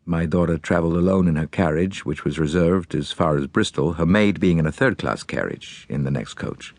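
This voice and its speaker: A man's voice: low-pitched, brisk, dry recording. A man voicing a large, older, blunt American millionaire and grieving father, with an American accent, in an audiobook. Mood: factual, sombre. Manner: blunt, forceful, businesslike. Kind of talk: audiobook character acting.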